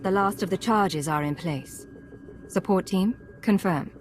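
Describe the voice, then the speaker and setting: A woman plays a resolute secret agent commander in a sci-fi game. Her voice is fairly low, cool and commanding, with faint ambience behind it. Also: measured pace, noisy background, natural voice.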